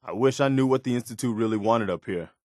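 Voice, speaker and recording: An actor playing an earnest, idealistic militia soldier in a post-apocalyptic video game, speaking in a sincere, plain-spoken, measured way. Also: male voice, medium pitch, darkish tone, no echo, studio quality, close to an everyday voice. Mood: bitter, frustrated. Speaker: video game companion character. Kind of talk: video game voice acting, naturalistic dialogue.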